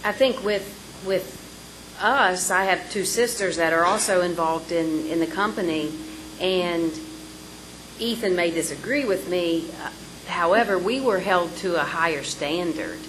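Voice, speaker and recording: A woman on a discussion panel who speaks earnestly and haltingly, pausing and restarting. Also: on the low side, fairly bright tone, slight background noise, poor audio.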